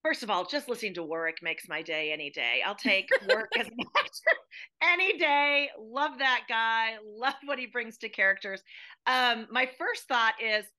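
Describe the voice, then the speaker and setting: Bright, emphatic American woman. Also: fairly high pitch, animated, normal speed. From United States. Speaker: guest playwright and educator. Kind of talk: casual interview chat.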